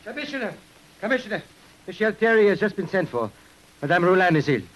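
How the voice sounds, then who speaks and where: An actor playing an agitated French police messenger with urgent delivery in a 1950s radio crime drama. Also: male voice, high-pitched, very animated, fast. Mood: alarmed. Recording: noisy old radio recording.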